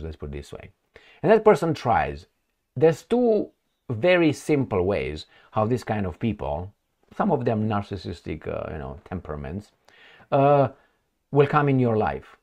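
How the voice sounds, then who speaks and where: Rambling low-voiced male commentator. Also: darkish tone. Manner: rambling and sarcastic, breaks into asides and mock dialogue. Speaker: commentator reading news aloud. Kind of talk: casual news commentary.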